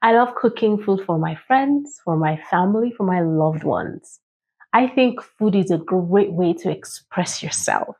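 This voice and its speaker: A woman's voice: on the low side, big pitch swings, unhurried, darkish tone. A woman hosting a children's read-aloud, playful and chatty, speaking straight to her young listeners. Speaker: children's storytime host and reader.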